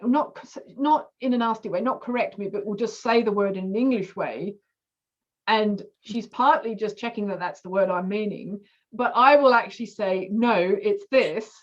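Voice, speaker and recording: A woman's voice: medium pitch, dull audio. A woman from Australia with an Australian accent, chatting casually and murmuring agreement often as she listens. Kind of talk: casual conversation.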